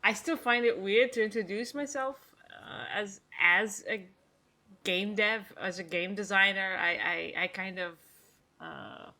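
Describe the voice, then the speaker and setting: Bright-voiced woman, laughing and modest. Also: mid-range voice, unhurried. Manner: laughing, self-deprecating, hesitant fillers. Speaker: indie game designer guest. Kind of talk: casual interview chat.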